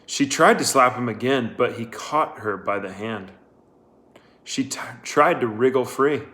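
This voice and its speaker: Slow, measured male story reader. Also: medium pitch, pushed and bright. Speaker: audiobook reader. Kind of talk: scripted story narration.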